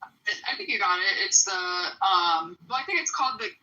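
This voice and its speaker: A woman with a bright voice explains things calmly and thoughtfully on a group call. A faint hiss sits under her voice. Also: mid-range voice, moderate pace, dull audio. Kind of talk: casual chat.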